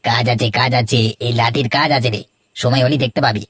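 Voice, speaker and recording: A man's voice: medium pitch, fairly even intonation, fairly quick, a bit bright, no echo, clean studio sound, lightly acted. A man voicing a scheming petty thief in a cartoon, sly and bossy. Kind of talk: Bengali moral-story cartoon dubbing.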